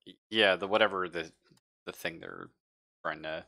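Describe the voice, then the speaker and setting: A man's voice: low voice, sing-song, moderate pace, gravelly, bright, forceful tone. A man talking his way through an improvised tabletop roleplaying session.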